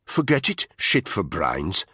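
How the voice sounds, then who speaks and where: A man's voice: deep voice, wildly swooping pitch, takes it slowly, sharp tone, husky, dry recording, clean studio sound, voice pushed up, exaggerated voice. A man voicing a brash, bullying male unit commander, sneering, crude and mocking. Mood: contemptuous.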